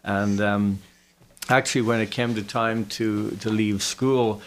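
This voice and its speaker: A man in his forties with a Dublin Irish accent, recounting his own story casually as an interview guest, with hesitant pauses. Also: fairly low pitch, steady tone. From Dublin, Ireland. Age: 40s. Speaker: interview guest, business owner.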